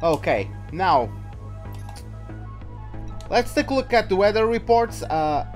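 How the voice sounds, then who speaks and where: A man's voice: sits high, lively intonation, slow, faint music behind. A man giving casual, chatty commentary as he streams a racing game live. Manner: chatty, greets viewers by name mid-commentary. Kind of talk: casual livestream gaming commentary.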